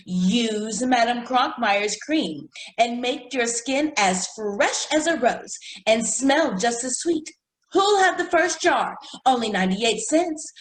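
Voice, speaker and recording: A woman playing a brisk, pompous cosmetics saleswoman in old-time radio comedy style, recorded in an echoey room. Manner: showy, deliberate pitchwoman delivery.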